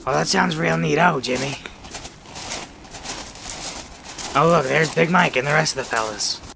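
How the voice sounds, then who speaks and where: A performer playing a brave, calm, bossy young girl who coaxes and chides, in a radio play. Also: mid-range voice, fairly quick, gravelly, slight background noise. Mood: eager. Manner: calm, coaxing, chiding.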